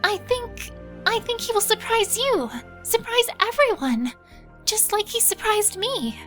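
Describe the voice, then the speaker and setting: Woman doing a hopeful guardian girl, super high n swoopy, pleading, audio drama. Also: expressive, measured pace, fairly bright tone, faint music behind, full character voice. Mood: earnest. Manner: pleading, earnest.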